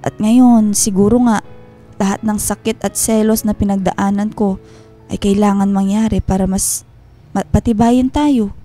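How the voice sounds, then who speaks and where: An actress playing a tender, wounded wife and mother in a Filipino radio drama, speaking in an earnest, measured way. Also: mid-range voice, fairly even intonation, dark tone, soft background music, close to an everyday voice. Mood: relieved, loving. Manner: earnest, heartfelt, measured delivery.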